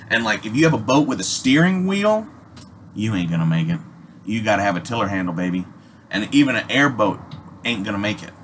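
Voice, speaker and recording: Man, American accent, mid pitch, casual storytelling, animated, rambling, heavy swearing, slangy, slightly noisy amateur recording. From Alaska, United States. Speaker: storyteller, host. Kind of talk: casual personal storytelling, unscripted.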